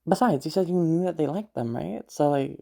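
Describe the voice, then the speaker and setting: Woman acting a low, husky, kindly young stranger. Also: mellow, heavily acted. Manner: hesitant, chuckling often, casual swearing. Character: kindly, teasing young female stranger comforting someone upset. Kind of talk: improvised-sounding intimate audio roleplay, one side of dialogue.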